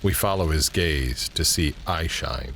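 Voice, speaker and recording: Really deep guy narrating an audio drama script, scene by scene. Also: measured pace, gravelly, background noise.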